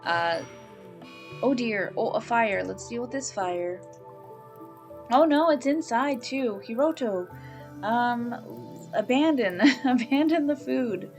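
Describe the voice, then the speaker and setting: Slow, chatty woman gamer from Canada. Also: fairly high pitch, soft background music. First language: English. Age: middle-aged. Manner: chatty, thinks aloud, coos at baby animals. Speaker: let's play host. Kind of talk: casual gameplay commentary.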